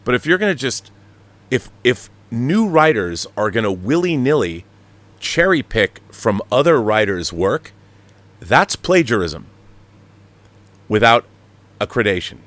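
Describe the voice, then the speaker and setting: A man's voice: medium pitch, sing-song, unhurried, pauses often, fairly bright tone. A man hosting a live talk show, giving emphatic, opinionated commentary with rhetorical questions.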